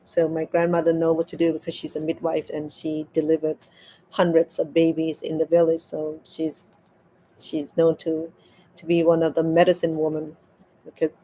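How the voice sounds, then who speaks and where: Woman telling a story haltingly. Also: low voice, warm tone, a little hiss or hum, low-quality recording. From Cambodia. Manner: halting, repeats words as she searches for them. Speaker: crochet vlogger. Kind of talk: casual storytelling while crafting.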